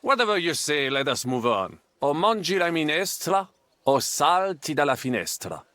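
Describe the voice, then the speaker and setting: A suave foreign gentleman tutor in a video game. His voice is fairly high and very animated, with a courteous, instructive manner and a dry, close sound. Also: normal speed, dry recording.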